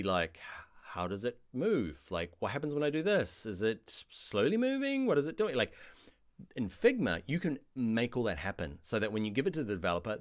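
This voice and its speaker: A middle-aged man with a New Zealand accent, answering viewer questions in a casual live stream about design software. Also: mid-range voice. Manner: chatty, reads viewer questions aloud, animated asides. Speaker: online design course instructor.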